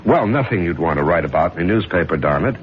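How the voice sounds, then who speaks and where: An actor playing a respected judge gone murderously mad, intense and halting, in 1970s radio drama style. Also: male voice, sits low, animated, highs cut off. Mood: guarded. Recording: hissy, band-limited 1970s radio recording.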